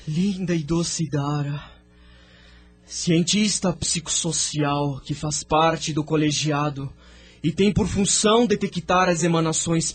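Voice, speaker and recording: Solemn male seer for audio drama, played by an actor: lighter high register, slow stretched phrasing, grave and reverent. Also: husky. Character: male visionary seer recounting his world's past, solemn and sorrowful.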